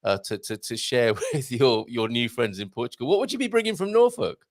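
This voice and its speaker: A man's voice: mid-range voice, expressive, a little fast. A man hosting a live question show, reading viewer questions and talking enthusiastically, often repeating words. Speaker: show host.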